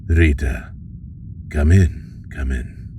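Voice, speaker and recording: A man playing a scheming old villain father in an audio drama, measured and authoritative. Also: a bass voice, mellow, exaggerated voice. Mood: coaxing, scheming. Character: scheming old villain father, deep-voiced former ruler. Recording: noticeable background noise.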